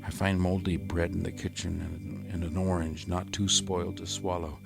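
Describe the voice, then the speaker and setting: Weary scholarly male survivor: deep, creaky, deliberate and grave, radio-play monologue over music. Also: level tone. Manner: halting, grave, deliberate dramatic delivery. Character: exhausted, haunted male survivor of Martian invasion, scholarly. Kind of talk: 1930s-style radio drama monologue.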